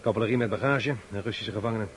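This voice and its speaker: An actor playing a cool, loyal Russian partisan officer reporting in clipped military style in a radio drama. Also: male voice, lowish voice, rapid-fire, natural voice.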